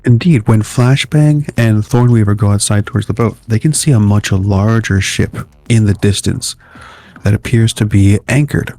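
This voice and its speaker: A man's voice: lowish voice, moderate pace, mellow, dry recording. A man narrating as game master of an online tabletop game.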